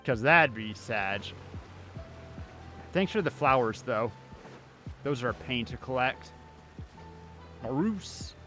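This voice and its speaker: A man's voice: mid-range voice, animated, takes it slowly, long pauses, sharp tone. A man livestreaming a video game with casual, wry, grumbling commentary. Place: inside a cold house. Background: game music.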